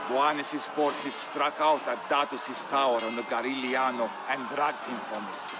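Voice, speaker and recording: A man acting as a solemn Byzantine Greek storyteller, narrating his family's war tale for a game in a grave, measured, theatrical way. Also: medium pitch, natural voice. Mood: stern, proud. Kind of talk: dramatic scripted narration.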